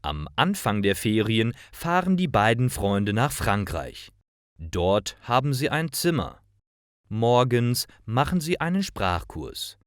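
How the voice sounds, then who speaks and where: A man reading short stories for language learners in a careful, measured, clearly enunciated scripted narration. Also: mid-range voice, sing-song, dry recording, studio quality.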